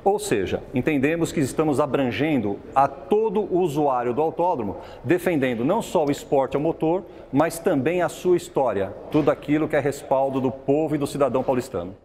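A man's voice: a little high, animated, fairly quick, hardly any pauses, dark tone, slight background noise. A man in his fifties with a Brazilian accent giving a prepared spokesman's statement to camera. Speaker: motorsport movement coordinator.

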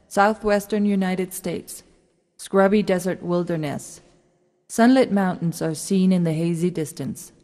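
A woman delivers scripted narration as a narrator of stage directions. Her voice is slightly low and mellow, with a long hall reverb around it.

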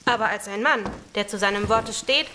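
A woman's voice: mid-range voice, fairly quick, natural voice. A woman playing a polite, matter-of-fact fantasy messenger with formal, old-fashioned delivery in an audio drama. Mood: polite, businesslike. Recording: clear background noise.

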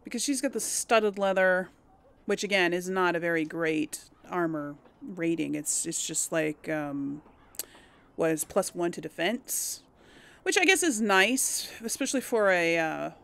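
A woman's voice: on the low side, lively intonation, a little slow, gravelly, fairly bright tone, clean tone. A woman giving casual let's play commentary, hesitant and musing as she thinks aloud to herself. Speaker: gaming host.

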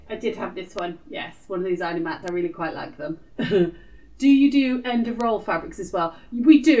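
A woman's voice: mid-range voice, darkish tone. A British woman in her forties giving a casual live craft demonstration, chatty and informal, sometimes muttering to herself as she works. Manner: chatty, informal, talks to self while working. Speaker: craft tutor and live show host. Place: indoor craft room. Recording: echoey room, distant microphone.

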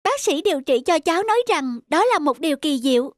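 A woman's voice: high voice, sing-song, brisk, no room sound, studio quality. A woman voicing Liên, a brave young girl recovering in hospital, speaking sincerely and gratefully.

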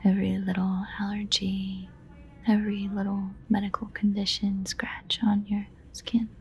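A woman playing an obsessive, guilt-ridden yandere lover in a whispered audio roleplay, halting, breathy, intimate and pleading. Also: medium pitch, completely flat delivery, slight background noise, natural voice. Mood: obsessive, uneasy. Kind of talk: whispered dramatic audio roleplay addressed to a listener.

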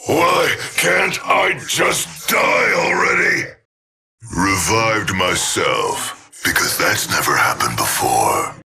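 An actor playing a bitter killer robot who longs for death, gravelly and sarcastic, for a video game. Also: male voice, on the low side, big pitch swings, sharp tone, shouting, soft background music, full character voice. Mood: bitter, anguished. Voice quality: gravelly, harsh. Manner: slow, menacing sarcasm.

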